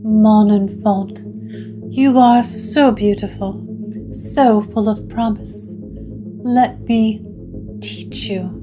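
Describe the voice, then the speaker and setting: A woman's voice: mid-range voice, fairly even intonation, drawn-out words, long pauses. Woman as a sweet syrupy evil lady ghost in a mirror, coaxing n seductive, gothic drama, music under it. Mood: sweetly coaxing. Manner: coaxing, patient. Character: syrupy, seductive, sinister female mirror spirit. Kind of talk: gothic audio drama acting.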